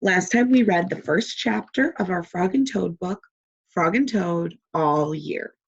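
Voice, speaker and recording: A woman teacher doing a read-aloud for young children, deliberate and encouraging as she prompts them with simple questions. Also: fairly low pitch, creaky, slightly rough, lo-fi sound.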